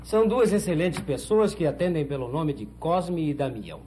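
Casting: actor as slippery Brazilian lawyer, pompous and formal, mellow, theatrical pitch swings; vintage TV drama. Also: male voice, expressive, moderate pace, lightly acted. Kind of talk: 1970s telenovela acting. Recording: noisy old 1970s TV soundtrack.

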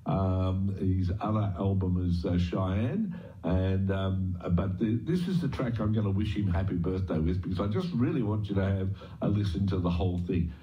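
A man's voice: deep voice, moderate pace, warm tone. A man presenting on radio, introducing music casually in a hesitant, rambling, unscripted way. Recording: dull lo-fi radio playback.

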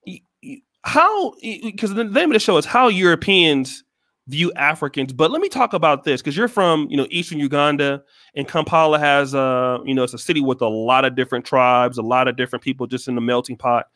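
A man's voice: a little high, a little fast, no echo. Man hosting with a dry headset mic. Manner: relaxed, hesitant, restarts questions. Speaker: host. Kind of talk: casual interview over video call. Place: small room indoors. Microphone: headset and studio mic.

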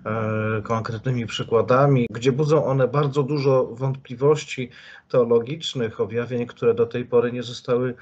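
A middle-aged man interviewing a priest, speaking in a measured, deliberate way with frequent fillers over a video call. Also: mid-range voice, level tone, a little mellow, husky. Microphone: video call webcam. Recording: dull lo-fi video call audio.

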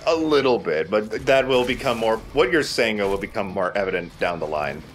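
A man's voice: lowish voice, lively intonation, moderate pace, soft background music. A man giving casual commentary as he plays and hosts a video game let's play. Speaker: gaming let's play host, player.

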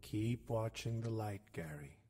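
An actor playing a calm therapist who talks slowly, soothingly and deliberately in an audio drama. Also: male voice, on the low side, slight background noise, natural voice. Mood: calm, coaxing. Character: calm, slow, hypnotic male therapist.

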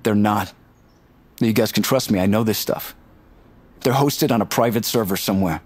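Cocky, husky, low-voiced tech nerd played by a man. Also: moderate pace, a little mellow, natural voice. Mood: confident. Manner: talkative, boastful, informal. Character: eager, cocky, nerdy male tech strategist, chatty roommate. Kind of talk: naturalistic audio drama acting.

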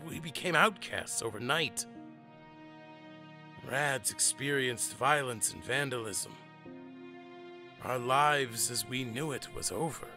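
An actor playing an earnest middle-aged monster father, telling family history with measured, dramatic pauses in an audio drama. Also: mid-range voice, over music. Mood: grave, bitter.

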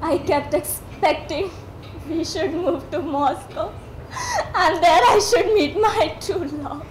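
A woman's voice: extremely high pitch, takes it slowly. An actress playing a weary, despairing young woman of twenty-three who speaks through tears. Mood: wistful. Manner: tearful, anguished outbursts. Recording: echoey stage audio, faint hum.

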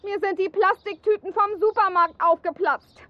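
A woman's voice: very high voice, steady tone, normal speed, no echo, lo-fi sound. An actress playing an exasperated but kind mother in a children's radio play.

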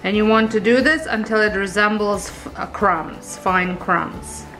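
A woman hosting a home baking video, talking through each step in a relaxed, chatty way with small self-corrections. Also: medium pitch, a little slow, over music, poor audio. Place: home kitchen.

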